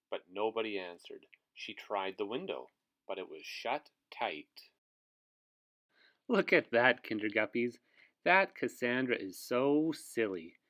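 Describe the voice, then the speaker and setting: A man's voice: mid-range voice, fairly bright tone. A man who works as a teacher reads a picture book aloud to young children, with asides to his listeners.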